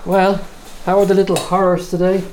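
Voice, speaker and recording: A man's voice: high-pitched, monotone, brisk, darkish tone, a little hiss or hum, lightly acted, poor audio. An easygoing husband, played by an actor in a radio drama. He chats in a casual, teasing, domestic way, like an everyday partner at home. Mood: teasing, cheerful.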